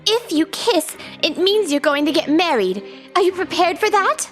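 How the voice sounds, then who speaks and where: A woman's voice: very high voice, expressive, moderate pace, a bit bright. A woman voicing a strict but naive and earnest young teacher in a manga story dub. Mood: stern. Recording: background music under the voice.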